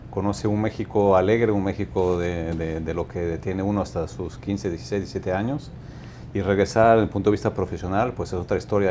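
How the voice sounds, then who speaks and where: A man in his fifties with a low, dark and mellow voice, answering as a guest in a measured, unhurried way and often restarting his sentences. A faint low rumble sits under the recording. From Mexico. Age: 50s. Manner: measured, thoughtful, with frequent restarts. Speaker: company director, guest. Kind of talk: interview answers.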